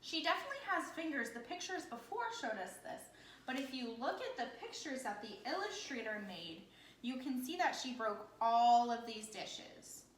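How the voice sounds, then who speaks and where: Echoey, higher-pitched woman teacher reading aloud. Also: big pitch swings, normal speed. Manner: simple child-directed phrasing, prompting questions. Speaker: elementary teacher. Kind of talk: interactive picture-book read-aloud with questions. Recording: echoey room with background noise.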